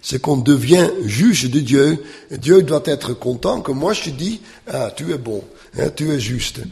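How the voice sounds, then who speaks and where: A non-native man lecturing on scripture, slow and halting, with lots of "euh" and tag questions. His voice is gravelly and swings up and down a good deal. A long reverb trails behind him, as if he were in a big hall. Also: medium pitch, animated, a little mellow. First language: not French. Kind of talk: lecture on the Bible.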